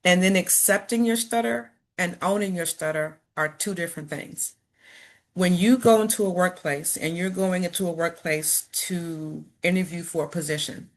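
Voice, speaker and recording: A middle-aged woman from Chicago, a motivational speaker who stutters and repeats words, talking earnestly and encouragingly to an audience. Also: on the low side. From Chicago, United States. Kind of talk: personal talk to an audience.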